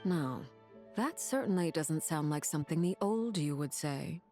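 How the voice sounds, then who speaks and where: A woman voicing a composed, courteous woman of high rank in a video game, formal and measured in her speech. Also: expressive, dark tone. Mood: gently teasing. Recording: game dialogue with music behind.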